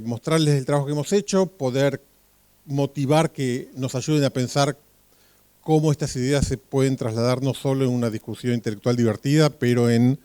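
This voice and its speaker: A man's voice: medium pitch, dark tone, clear, resonant tone, no room sound. A man introducing a speaker at a public event, rambling with many fillers and small jokes.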